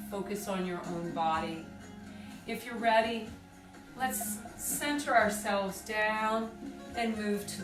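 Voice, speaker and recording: A woman leading a guided meditation with slow, deliberate, soothing phrasing and pauses. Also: mid-range voice. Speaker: group exercise coordinator leading guided meditation. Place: indoors on a rug by firelight. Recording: roomy, distant mic, music behind.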